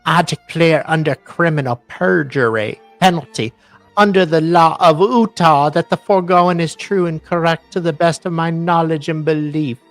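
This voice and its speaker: A man's voice: on the high side, normal speed, faint music behind. A man co-hosting a dramatic reading and commentary, with a theatrical, mocking way of talking. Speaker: co-host.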